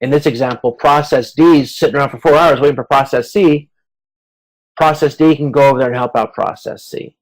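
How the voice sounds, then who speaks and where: A man teaches a class over a video conference in an informal, conversational style. He explains in plain colloquial terms, slipping into quoted hypothetical remarks to illustrate. His voice sits at an ordinary male pitch. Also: steady tone, a little fast, poor audio. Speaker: lecturer in supply chain management.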